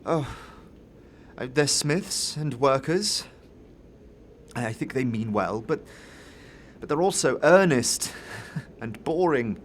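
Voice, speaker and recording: A man's voice: medium pitch, animated, takes it slowly, long pauses, a little hiss or hum. A man playing a nervous, bored young fellow with a hesitant, stammering delivery in a fantasy audio drama. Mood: wry, disdainful. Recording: long reverb, music behind.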